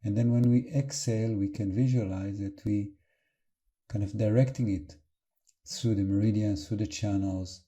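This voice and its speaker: A man leading an unscripted guided meditation as a teacher, calm and gentle, with halting phrases and long pauses. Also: on the low side.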